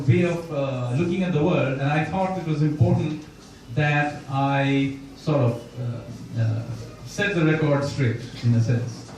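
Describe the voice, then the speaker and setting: A man, an author at a bookstore event, talks about his book and reads a passage from it, pausing often and restarting his sentences. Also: fairly high pitch, a little mellow, slight background noise. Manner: thoughtful, with pauses and restarts. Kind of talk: talk and reading from his book. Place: bookstore event room, indoors. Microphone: distant mic or none. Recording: very echoey room, voice from far off.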